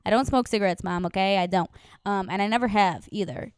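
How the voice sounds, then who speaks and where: A young woman in her twenties chats on a podcast with a smooth, resonant voice. She talks in a bubbly, rambling way, full of "like". Her voice is close and dry, with no room sound. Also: medium pitch, fairly quick, no room sound. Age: 20s. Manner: bubbly, chatty, many "like" fillers. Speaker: podcast co-host. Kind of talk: casual chat.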